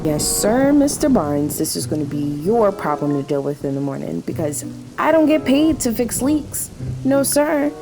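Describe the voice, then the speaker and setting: A woman's voice: mid-range voice, sing-song, moderate pace, over music. A woman playing a harried, sarcastic night-shift waitress in a radio play, curt but placating with the boss. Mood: curt, irritated.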